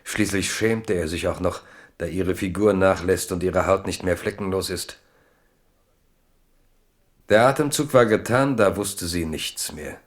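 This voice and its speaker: A man with a low-pitched voice narrates a literary radio play from the 1970s. His delivery is scripted, measured and dramatic, in the manner of a storyteller.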